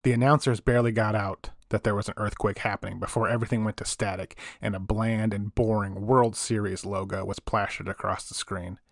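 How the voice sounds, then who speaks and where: A man acts out a 1980s late-night radio host with a fairly low voice. He delivers a dramatic monologue about the uncanny, suspenseful and punchy. The sound is close and dry. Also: vocal fry, dry recording. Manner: theatrical, suspenseful, punchy short phrases.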